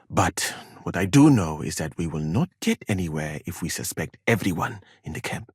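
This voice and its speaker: An actor playing a learned, well-travelled West African physician in a video game, speaking formally and precisely with dry wit and a husky voice. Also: male voice, low-pitched, no echo. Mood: measured, learned. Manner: formal, measured, precise diction with dry irony. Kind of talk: video game character dialogue.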